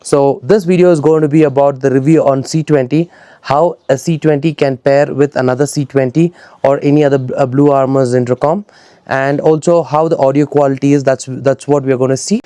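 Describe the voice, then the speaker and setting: Indian man, mellow dry-sounding voice. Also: medium pitch, fairly even intonation, a little fast, no echo. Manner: conversational, addressing viewers directly as guys. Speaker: motorcycle gear reviewer and vlogger. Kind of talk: casual product review to camera.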